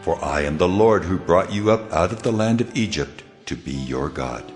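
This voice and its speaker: God, booming and solemn. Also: male voice, lively intonation, moderate pace, faint music behind. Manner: solemn, commanding. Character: the Lord, divine male voice of God. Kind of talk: dramatized scripture reading.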